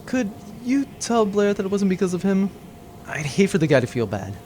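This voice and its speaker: A man's voice: high voice, sing-song, normal speed, a little mellow, a little hiss or hum, pitched below the performer's own voice. A man voicing a wry, self-interested, nerdy spaceship accountant, hesitant and sheepish, in a comic audio drama. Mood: apologetic, dry.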